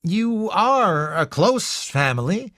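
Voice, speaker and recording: A man's voice: high voice, big pitch swings, a little slow, a bit bright, dry recording, studio quality, pitched above the performer's own voice, heavily acted. A man doing a pleasant, polite middle-aged man. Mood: polite, friendly. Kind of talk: audiobook character reading.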